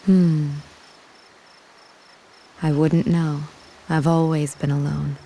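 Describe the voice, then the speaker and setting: An actress playing a composed, solitary warrior woman with a quiet kindness in a video game. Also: low-pitched, level tone, takes it slowly, slight background noise. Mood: wistful, reserved.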